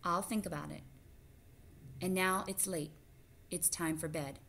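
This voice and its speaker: Woman reading as careful mother mouse, slightly low, gentle, reasoning, storybook. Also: fairly even intonation, measured pace, gravelly, a little hiss or hum. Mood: measured, reasoning.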